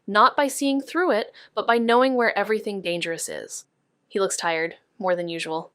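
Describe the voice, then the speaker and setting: A woman playing an awkward, dryly wry amateur sleuth in an audio drama, speaking in a halting, hedging way. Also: medium pitch, steady tone, a bit bright, clear, resonant tone, no echo, studio quality, lightly acted. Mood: wry, reflective. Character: awkward young female amateur sleuth, narrating noir-style. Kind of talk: audio drama dialogue and noir narration.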